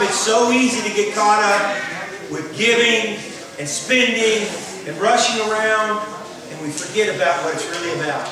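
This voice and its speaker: A man giving a children's sermon as a church leader, emphatic, repeating phrases and asking for amens. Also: high-pitched. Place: church hall, indoors. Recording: echoey hall, far mic, crowd.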